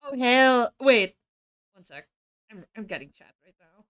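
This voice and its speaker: A woman game streamer with a fairly high, very animated voice. She takes her time as she banters casually, reacting with amused exclamations. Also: unhurried, dry recording, muffled recording.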